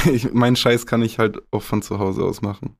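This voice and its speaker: Young man, low voice, laid-back, candid, casual swearing, conversational podcast guest. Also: normal speed, creaky. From northwest Germany. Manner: relaxed, candid, with casual swearing and English slang. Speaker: rapper, interview guest.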